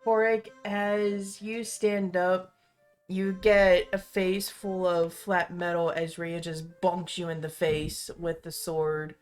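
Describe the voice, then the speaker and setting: Slow-paced woman narrating a tabletop game. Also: fairly low pitch, flat delivery. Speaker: game master. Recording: music under the voice. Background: instrumental music.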